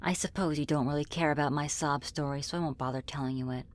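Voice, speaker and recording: A woman playing a friendly, flirty, apologetic demon in an ASMR roleplay, speaking in a gentle, reassuring, conversational way. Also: low-pitched, steady tone, fast, natural voice. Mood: earnest, apologetic. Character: friendly, flirty, apologetic female demon ruling her own realm. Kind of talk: ASMR roleplay spoken to the listener.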